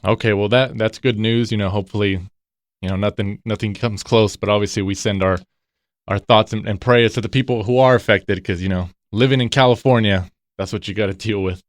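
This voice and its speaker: A man hosting a casual podcast, relaxed and full of fillers, often echoing his co-host's words. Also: lowish voice, fairly quick, studio quality. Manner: relaxed, filler-heavy, repeats others' phrases. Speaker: podcast host.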